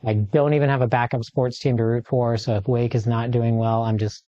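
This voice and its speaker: Dry-humored man, average pitch. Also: little pitch movement, fairly quick, mellow. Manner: dry self-deprecating humor. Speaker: guest attorney. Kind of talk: casual podcast interview. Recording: slightly dull lo-fi sound.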